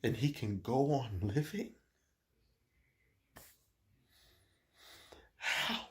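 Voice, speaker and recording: An actor playing a confused, grieving schoolboy son in a dramatic monologue, speaking in a halting, hushed way with heavy pauses. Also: male voice, medium pitch, big pitch swings. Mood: bitter, let down.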